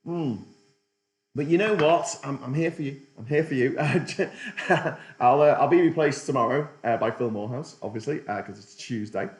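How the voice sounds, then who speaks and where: A man's voice: medium pitch, moderate pace, echoey room. A middle-aged man hosting a political livestream, rambling sardonically and reading out chat comments. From Britain.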